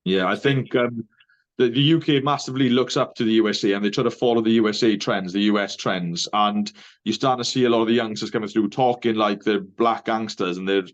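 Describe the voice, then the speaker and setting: A man's voice: fairly low pitch, fairly quick, lo-fi sound. A middle-aged man from Wales with a Welsh accent, a native Welsh speaker, talking earnestly as an interview guest. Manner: earnest, reflective, says mate often. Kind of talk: casual interview chat.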